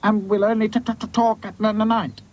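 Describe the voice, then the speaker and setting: A woman's voice: mid-range voice, flat delivery, fairly quick, darkish tone, highs cut off, pitched above the performer's own voice, close to an everyday voice. A woman voicing an earnest, eager male rag doll in a children's story, stuttering and crackly.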